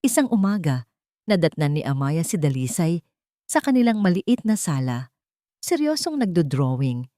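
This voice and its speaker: A woman storyteller reading scripted dramatic fiction in a measured, solemn way, in short phrases. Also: sits low, warm tone, no room sound, studio quality.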